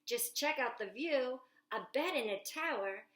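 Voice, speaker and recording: A woman's voice: high voice, expressive, measured pace, a bit bright. A woman reading a storybook's lines as an imaginative young boy who proudly shows off his dream house, in bouncy rhyming verse with stretched words. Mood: proud, excited. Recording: echoey room.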